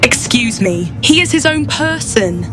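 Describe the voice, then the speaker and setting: A woman's voice: on the high side, expressive, a little slow. A woman playing a firm, protective adventurer in a video game, speaking in a direct, wary way. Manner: direct, guarded. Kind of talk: video game character acting. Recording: music behind the voice.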